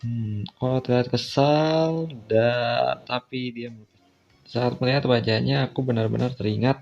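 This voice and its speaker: A man's voice: mid-range voice, fairly even intonation, unhurried, creaky, a little mellow. Indonesian man narrating over music, lo-fi sound. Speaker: video game player reading a visual novel aloud. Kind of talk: casual playthrough narration with asides. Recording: dull lo-fi mic, music behind.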